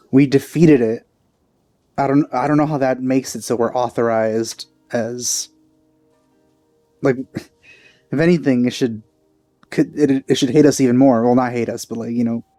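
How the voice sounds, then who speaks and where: Young guy chatting over a game playthrough, mellow, dry close mic, kinda wry and musing. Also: mid-range voice, no room sound. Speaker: let's player. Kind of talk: casual gameplay commentary.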